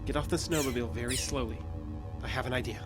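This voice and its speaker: A man's voice: medium pitch, quick pace, over loud music, natural voice. An actor playing a nervy but resourceful young man in an audio drama, speaking in an eager, blurting, casual way. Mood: hurried, resourceful.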